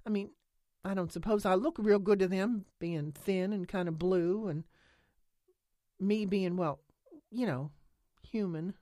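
A woman voicing a stubborn, excitable rural woman who talks in country dialect and rambles defensively. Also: medium pitch, unhurried, long pauses, dry recording, clean studio sound, voice pushed up, close to an everyday voice. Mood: earnest.

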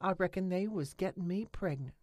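A woman voicing a stubborn, excitable rural woman who talks in country dialect and rambles defensively. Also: lowish voice, a little fast, no room sound, clean studio sound, pitched above the performer's own voice, lightly acted. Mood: earnest.

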